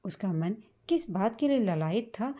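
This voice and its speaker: A woman reading a scripted, sentimental family story as a storyteller, in a measured manner. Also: medium pitch, lively intonation, dark tone. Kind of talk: scripted emotional story narration in Hindi.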